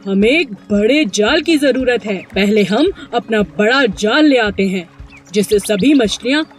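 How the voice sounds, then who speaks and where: A woman's voice: fairly high pitch, expressive, measured pace. A woman voicing a scheming male fisherman in a children's story. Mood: scheming, agreeable.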